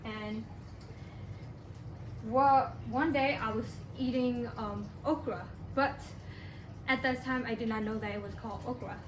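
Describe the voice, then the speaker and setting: A young woman from Burma giving a class presentation in a halting way, often pausing and restarting. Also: fairly high pitch, steady tone. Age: young adult. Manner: halting, with frequent pauses and restarts. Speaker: college student presenter. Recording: echoey room, distant mic, background noise.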